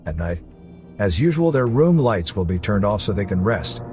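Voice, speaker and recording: Guy with a lowish voice doing scripted recap narration, music clearly playing behind him. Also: very animated, normal speed, darkish tone. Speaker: narrator of movie recap videos.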